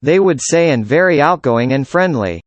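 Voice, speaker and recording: A man reading a student's part in a scripted classroom conversation, with an even, measured delivery. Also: on the high side, no room sound. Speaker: student in a dialogue.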